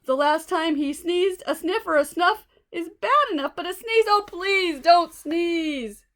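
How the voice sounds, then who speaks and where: A woman's voice: extremely high pitch, animated, measured pace. A woman reading a children's storybook aloud expressively, with small asides to listeners. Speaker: storybook reader.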